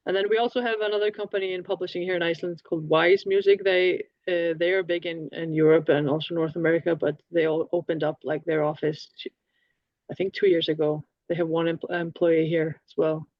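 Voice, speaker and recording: A woman's voice: on the low side, flat delivery, a little fast, no echo. A woman introducing her music company on a panel, chatty with fillers and restarts, talking over a video call. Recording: dry, dull lo-fi call audio.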